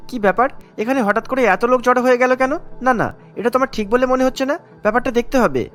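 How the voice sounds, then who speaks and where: A man's voice: extremely high pitch, rapid-fire, fairly bright tone, soft background music. A man playing an earnest, determined young police inspector in a cartoon, speaking in an eager, emphatic way. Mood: alarmed. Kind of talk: cartoon voice acting.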